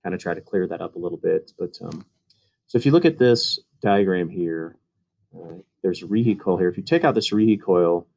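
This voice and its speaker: A man with a low, mellow voice talks through technical slides in a relaxed voice-over. He explains things casually, with plenty of ums and uhs along the way. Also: a little fast, gravelly. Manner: casual, uses fillers. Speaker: HVAC instructor.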